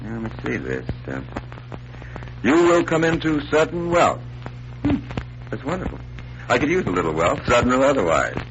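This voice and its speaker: A skeptical but good-natured sidekick in a 1940s radio drama. His medium-pitched voice is wry and inquisitive. The recording is dull and old. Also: huge pitch swings, moderate pace, creaky, long pauses, background noise. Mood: wry, curious. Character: skeptical, good-natured male friend of a magician.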